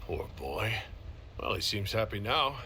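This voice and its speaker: An actor playing a calm, kindly, modest leader in a video game. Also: male voice, on the low side, a little slow, noisy background. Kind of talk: dramatic video game dialogue.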